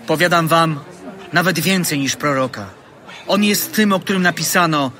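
A man's voice: on the high side, pushed and bright. An actor playing an earnest, authoritative male preacher addressing crowds in a dramatized scripture audio play, with declamatory, emphatic delivery.

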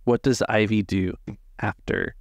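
A man's voice: fairly low pitch, fairly even intonation, unhurried, no room sound, clean studio sound. A man running a tabletop roleplaying game as game master, narrating scenes and explaining the rules.

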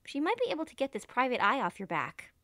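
A woman's voice: on the high side, very animated, fast, sharp tone, dry recording, studio quality. A woman playing a chatty, breezy young best friend, casual, bubbly and reassuring.

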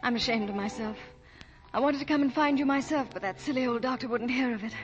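A woman's voice: on the high side, fast talker, lightly acted. An actress playing a devoted, romantic and brave young woman in a 1940s radio drama. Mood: playful. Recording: muffled 1940 radio broadcast with music.

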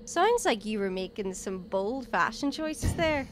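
A woman's voice: a little high, very animated, measured pace, a little hiss or hum. A woman playing a cheeky, inquisitive travelling companion in an audio drama, with a teasing, informal manner. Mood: curious, teasing.

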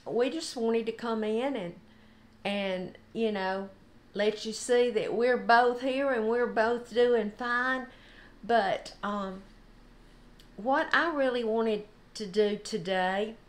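Slow-talking older woman. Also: fairly high pitch. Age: older, about 70s. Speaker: devotional host. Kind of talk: informal devotional talk. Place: home kitchen, indoors.

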